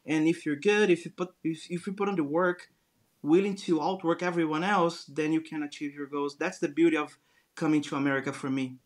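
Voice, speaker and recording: Brazilian man, forties, earnest guest, slightly high voice, Brazilian accent. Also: fairly even intonation, fairly quick. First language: Portuguese. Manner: earnest, storytelling, frequent restarts. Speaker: guest entrepreneur. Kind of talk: casual interview conversation.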